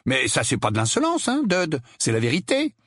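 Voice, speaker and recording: A sarcastic, defiant teenage boy voiced by a man, a little raised in pitch and lively. He needles with dry mockery, husky-edged, in storybook style. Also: fairly quick, no room sound, heavily acted. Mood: goading, contemptuous. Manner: mocking, taunting, dry.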